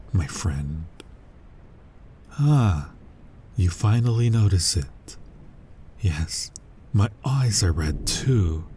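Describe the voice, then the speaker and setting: A man playing an ancient, brooding, menacing vampire who hunts his own kind, in an ASMR roleplay, husky and breathy with a slow, deliberate, intimate delivery that often drops toward a whisper. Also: sits low, mellow, a little hiss or hum. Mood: quiet menace. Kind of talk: scripted ASMR roleplay monologue.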